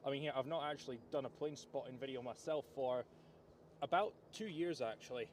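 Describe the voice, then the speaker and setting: A man's voice: medium pitch, brisk, slight background noise. A young man from Edinburgh with a Scottish accent, chatting politely outdoors at an airport, talkative and a bit hesitant. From Scotland. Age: young adult. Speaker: visiting plane spotter. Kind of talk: casual chat.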